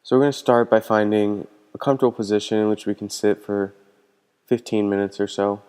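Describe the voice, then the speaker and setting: Smooth, even-toned man guiding a meditation. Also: fairly low pitch. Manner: calm, gentle, unhurried instructing with pauses. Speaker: meditation teacher. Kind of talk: guided meditation instruction. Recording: long hall-like reverb.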